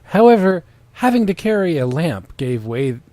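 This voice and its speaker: A man's voice: fairly high pitch, big pitch swings, normal speed, warm tone, no room sound. A man reading fan fiction aloud as a storyteller, with wry, profane casual asides.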